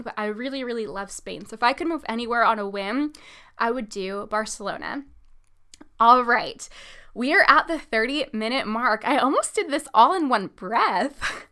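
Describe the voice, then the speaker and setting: A young woman in her mid-twenties hosting a podcast, chatty and upbeat, filling her talk with "like" and "um". Her voice is bright and clear, pitched a little high. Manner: chatty, upbeat, lots of "like" and "um", laughs between thoughts. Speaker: podcast host. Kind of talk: casual solo podcast, answering listener questions.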